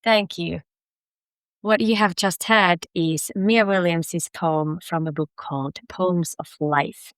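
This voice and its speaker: Podcast host lady, lowish voice, friendly, dry close mic. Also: fairly even intonation, slow pace, fairly bright tone, clean tone, no echo. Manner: friendly, encouraging, laughs often. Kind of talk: podcast interview.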